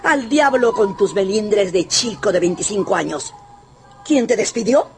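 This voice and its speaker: A woman's voice: mid-range voice, lively intonation, a little slow, a little hiss or hum. An actress playing a scornful, imperious old grandmother in a radio drama, bossy, mocking and theatrical. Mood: bossy, brisk. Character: imperious, scornful old Russian grandmother, rich and sharp-tongued.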